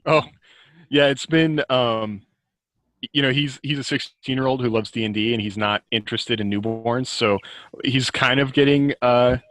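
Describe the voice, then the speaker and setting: A man's voice: mid-range voice, moderate pace, a bit bright, no room sound. A middle-aged man talking casually and reflectively as an author on a podcast, often revising his sentences as he goes. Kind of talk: casual reflective conversation about writing and life.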